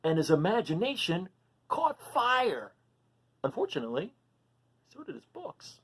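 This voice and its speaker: A woman reading a picture book aloud for children, animated and often stopping to ask the listener questions. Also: on the low side, a little slow, husky. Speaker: storybook reader for children.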